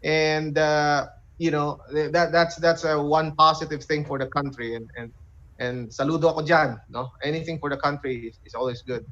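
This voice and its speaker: Muffled lo-fi audio, man, fairly high voice, casual interviewer, hesitant phrasing. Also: fairly even intonation, moderate pace, a bit bright, slight background noise. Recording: dull, lo-fi online call audio.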